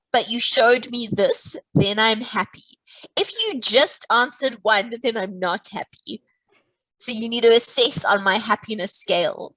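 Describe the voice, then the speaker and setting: Lady maths teacher, kinda high voice, jokey, goes step by step, dry close sound. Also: a bit bright, no echo, low-quality recording. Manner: playful, teasing, explains step by step. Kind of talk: live online maths lesson.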